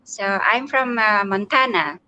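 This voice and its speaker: A woman's voice: medium pitch, expressive, a little slow, sharp tone, highs cut off. Woman guest from the Philippines, friendly and upbeat, plain voice. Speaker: guest, health worker. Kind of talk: casual chat.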